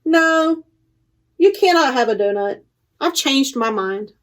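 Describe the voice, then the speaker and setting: A woman reading a storybook as a stern, grumpy panda, blunt and deliberate. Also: high-pitched, animated, fairly bright tone, heavily acted. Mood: curt, grumpy. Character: stern, grumpy panda refusing to share doughnuts.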